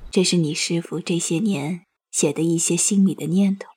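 A woman's voice: fairly low pitch, steady tone, normal speed, dark tone, breathy edge, faint music behind. A woman playing a grave, kindly elder counselling someone younger in a costume audio drama. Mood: gentle, earnest.

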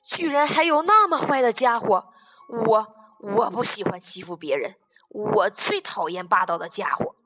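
A woman's voice: mid-range voice, normal speed, a crowd in the background, lightly acted. A woman voicing a big male T. rex bully in a children's audio story, cackling when taunting and stammering when unsure. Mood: timid. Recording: reverberant room with music underneath.

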